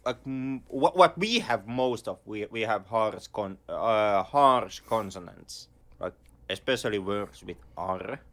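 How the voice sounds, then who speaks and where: Finnish male co-host: animated intonation, halting, restarts a lot. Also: lowish voice. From Finland. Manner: halting, repeats words while searching. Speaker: podcast co-host. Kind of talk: casual chat.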